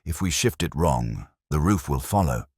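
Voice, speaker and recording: A man voicing a grave, precise Victorian detective in a storybook reading, speaking deliberately and tersely. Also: very deep voice, animated, darkish tone, slightly rough, no echo, studio quality, heavily acted. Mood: grave, alert.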